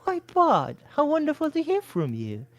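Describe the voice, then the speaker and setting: A prim, doting older mother with a high, fussy voice, put on by a woman reading a story. The voice sits well above the reader's low natural pitch and sounds dry and close. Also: wildly swooping pitch, normal speed, no room sound, full character voice.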